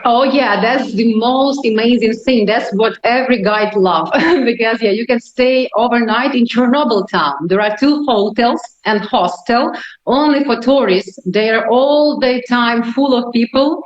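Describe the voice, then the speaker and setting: A woman's voice: fairly high pitch, unhurried. Female tour guide with Ukrainian accent, conversational and explanatory; dull lo-fi sound in an echoey room. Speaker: tour guide, interview guest. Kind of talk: conversational interview answers.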